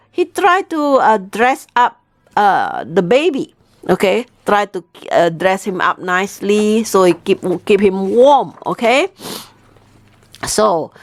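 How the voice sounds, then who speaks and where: A woman teacher telling a folk tale in a chatty, animated way, often checking in with her listeners. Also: medium pitch. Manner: animated, chatty, frequent "okay?" check-ins. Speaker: Chinese teacher telling a story. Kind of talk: casual storytelling.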